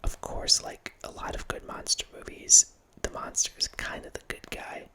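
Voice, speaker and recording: A man's voice: normal speed, pushed and bright. A man in his thirties whispering a casual, rambling talk close to a studio mic in a small home room, often restarting his sentences. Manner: rambling, self-correcting, casual. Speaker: host. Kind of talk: whispered ASMR horror film ramble. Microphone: studio mic close up, headphones.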